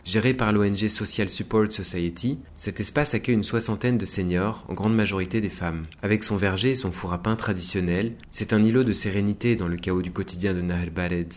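Low-voiced male radio reporter. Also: fairly even intonation, fairly quick, few pauses. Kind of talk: scripted documentary narration. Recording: faint ambient background noise.

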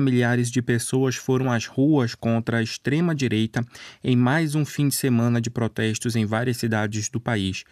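A man's voice: medium pitch, darkish tone, no room sound, clean studio sound. A man reading a scripted radio news bulletin as a news presenter. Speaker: news reader.

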